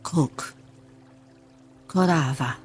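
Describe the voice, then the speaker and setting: An actress playing a wary alien woman in a radio drama, speaking halting single words of an unfamiliar language. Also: low-pitched, faint music behind. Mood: tentative, curious.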